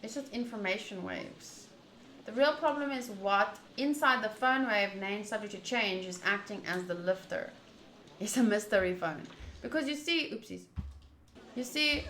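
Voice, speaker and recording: A woman streaming a visual novel, reading text aloud and thinking out loud in an animated, rambling way. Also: on the high side. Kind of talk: reading game text aloud with casual commentary. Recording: roomy echo, faint background noise.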